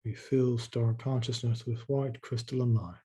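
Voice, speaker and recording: A man leading a guided meditation in a soft, slow, calming way, with long pauses and repeated words. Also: mid-range voice, breathy edge.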